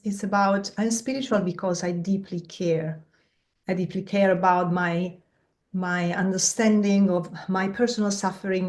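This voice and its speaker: A middle-aged woman, a mindfulness teacher and guest, answering in a measured, reflective way with pauses. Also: lowish voice.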